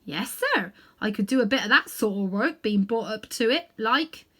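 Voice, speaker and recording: A woman's voice: on the high side, animated, moderate pace, bright, forceful tone. A woman reading aloud and voicing a humble, earnest male cab driver with a London Cockney accent, who speaks in a deferential, folksy way.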